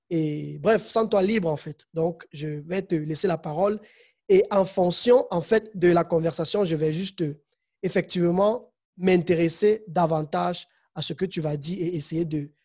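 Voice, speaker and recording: Husky man hosting a podcast. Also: sits high, a little mellow. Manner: rambling, restarts, frequent backchannel. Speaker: podcast host. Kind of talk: casual interview. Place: home studio room. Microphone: studio mic with headphones.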